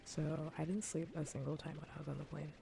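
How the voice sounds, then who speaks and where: Casting: female ASMR stream host, whispery and low, even intonation, dryly funny casual rambling, noticeable background noise. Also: fast. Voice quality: soft, whispery, breathy. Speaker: livestream host.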